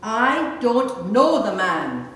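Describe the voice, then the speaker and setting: A woman's voice: on the high side, very animated, very slow, a bit bright. A woman reading several parts in a dramatised Bible reading. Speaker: scripture reader of several minor parts. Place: large reverberant church. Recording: very echoey church, distant mic, faint noise.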